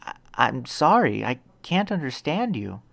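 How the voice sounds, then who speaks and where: A man's voice: mid-range voice, very animated, a little slow, creaky, a bit bright, dry recording, heavily acted. A man voicing a weak, grateful, confused young woman in a narrated story, hesitant and polite. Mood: weak, pleading. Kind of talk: acted audiobook dialogue.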